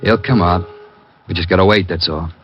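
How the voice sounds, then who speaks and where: Male, actor as hard-nosed American crook in charge, lowish voice, terse and impatient, muffled vintage radio. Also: fairly even intonation, normal speed, close to an everyday voice. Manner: curt, tough, uneducated speech. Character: gruff, impatient male thug boss, cool-headed. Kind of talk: 1940s-50s radio acting. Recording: dull, noisy old radio recording.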